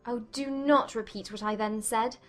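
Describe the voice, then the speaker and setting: A woman playing a frank young gentlewoman in love, with polite formal phrasing, in a dramatized classic romance audiobook. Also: a little high, fairly even intonation, normal speed, faint music behind, natural voice. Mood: regretful.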